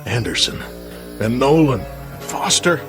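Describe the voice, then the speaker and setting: A man's voice: medium pitch, lively intonation, measured pace, music in the background, close to an everyday voice. An actor playing an anxious, dutiful spaceship crewman in a science fiction radio drama, speaking in short, urgent bursts. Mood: shaken, urgent.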